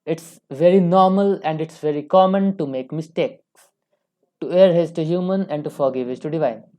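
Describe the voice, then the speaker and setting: Female online tutor, young, Indian accent, low mellow voice, informal and emphatic lecturing style. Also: normal speed. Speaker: online English teacher.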